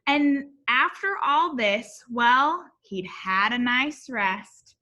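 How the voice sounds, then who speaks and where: A woman reading a picture book aloud in an animated, expressive way, as if to young children. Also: a little high, very slow, dull audio. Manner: expressive, addressing young listeners.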